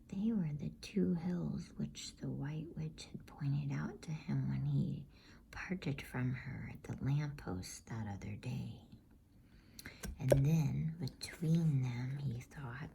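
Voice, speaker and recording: A woman reading a bedtime story in a soothing, breathy ASMR whisper with deliberate pauses. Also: deep voice, mellow. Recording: faint background noise.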